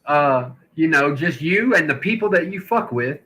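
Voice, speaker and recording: Bright-voiced, blunt man cracking jokes. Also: a little high, animated, normal speed. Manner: blunt and profane, tells corny jokes. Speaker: podcast host. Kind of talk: casual podcast chat.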